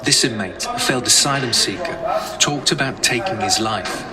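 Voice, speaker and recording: A man's voice: medium pitch, moderate pace. Man narrating over heavy noise. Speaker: documentary narrator. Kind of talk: scripted narration. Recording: very noisy background under narration.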